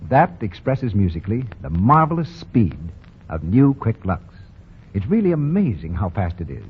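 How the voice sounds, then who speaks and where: 1940s radio announcer, man, lowish and very lively, polished salesmanship, muffled old broadcast sound. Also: moderate pace. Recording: dull, noisy 1940 radio recording.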